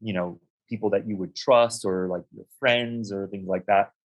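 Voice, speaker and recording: A young adult man from the United States, an interview guest, telling his life story in a measured, reflective way with frequent ums and restarts. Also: lowish voice, steady tone. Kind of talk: conversational storytelling.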